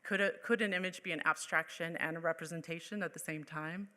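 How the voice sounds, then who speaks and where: A woman's voice: on the low side, steady tone, quick pace, sharp tone. A woman from Colorado giving a casual, chatty artist lecture, with many ums and restarts. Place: lecture hall. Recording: echoey hall with long reverb.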